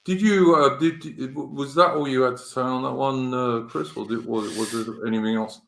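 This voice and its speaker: An English man in his fifties hosting a casual political chat, halting, with many fillers and restarts. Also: mid-range voice, fairly even intonation, vocal fry, a bit bright, echoey room. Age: 50s. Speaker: podcast host.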